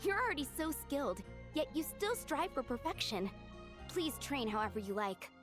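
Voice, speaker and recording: A woman's voice: high-pitched, very animated, normal speed. An actress playing an earnest young female knight in a video game, eager and full of admiration. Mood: eager, admiring. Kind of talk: English video game voice acting. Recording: game music clearly behind the voice. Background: game music.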